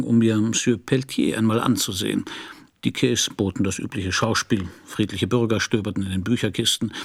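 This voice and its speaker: An actor playing a world-weary private detective in a radio crime drama, narrating his case in a laconic, dry way. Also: on the low side. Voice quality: husky, gravelly.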